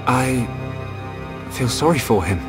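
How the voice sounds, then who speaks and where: A man's voice: mid-range voice, lively intonation, slow pace. A man playing an earnest, compassionate young hero in a game cutscene, sincere and questioning. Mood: earnest, sympathetic. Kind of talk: dramatic video game cutscene acting. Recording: voice under clear background music.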